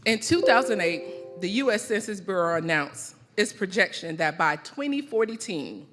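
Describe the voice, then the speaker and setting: A woman from the American South, giving a rhythmic, emphatic, poetic keynote speech with deliberate repeated phrases. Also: mid-range voice, lively intonation, music in the background. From American South (the Carolinas). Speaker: keynote speaker at a conference. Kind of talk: rhythmic spoken-word poetic public speech. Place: large conference hall. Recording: reverberant large hall through PA.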